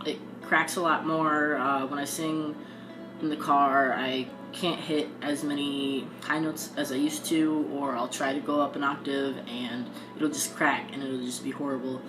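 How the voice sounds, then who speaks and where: A young man talking casually in a video diary, pausing often and filling gaps with "um". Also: a little high, rough recording. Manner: halting, frequent ums. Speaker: vlogger. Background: guitar music.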